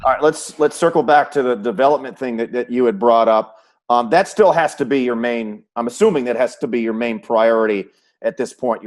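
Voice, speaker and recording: A man hosting a conversational sports interview, asking wordy questions with halting, repeated starts. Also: mid-range voice, darkish tone. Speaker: host, sports play-by-play announcer.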